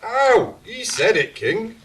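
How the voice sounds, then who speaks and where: A man's voice: high-pitched, big pitch swings, slow, recorded from a distance, noisy background, full character voice. An actor playing a dim, eager Northern English henchman to a king in a comic audio drama. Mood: indignant.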